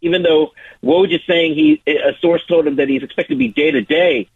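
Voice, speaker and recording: Husky male reporter on a phone-like line. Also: a little high, sing-song, moderate pace, dry recording. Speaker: sports reporter. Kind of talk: unscripted sports report. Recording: thin, muffled phone-like line.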